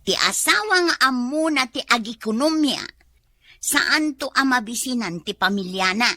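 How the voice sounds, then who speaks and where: A thrifty, long-suffering housewife with a wry, complaining manner. Her voice is fairly high, sharp and close, with an Ilocano Filipino accent, in radio comedy style. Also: lively intonation, normal speed, no echo.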